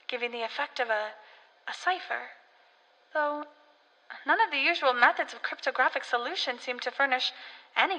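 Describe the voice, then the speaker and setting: A bright-voiced scholar, echoing hall. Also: female voice, a little high. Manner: formal, deliberate, literary. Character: grave, learned scholar recounting eerie horrors. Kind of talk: dramatic first-person horror narration for radio drama. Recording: reverberant hall-like echo.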